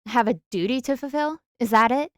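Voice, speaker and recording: A fragile male lover acted by a woman pleads in a high, faltering voice. He is desperate and clingy, played as audio-drama melodrama. The recording is dry, with no room around it. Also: brisk, a bit bright, no room sound, natural voice. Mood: bitter, hurt. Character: desperate, tearful, clingy young husband, fragile man. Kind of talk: fan fiction audio drama acting.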